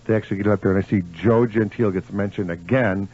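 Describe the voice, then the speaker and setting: Low-voiced American radio host, muffled recording. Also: male voice, fairly even intonation, fast talker, a little mellow, slightly rough. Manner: folksy, rambling, repeats phrases for emphasis. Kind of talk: live local radio show chat. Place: radio studio.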